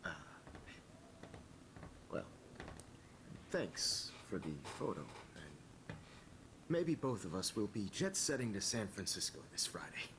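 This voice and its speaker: A man playing an encouraging, slightly pretentious photography teacher in a video game, with a measured, hesitant, lecturing manner. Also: lowish voice, sing-song, noisy background. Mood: flattered, encouraging.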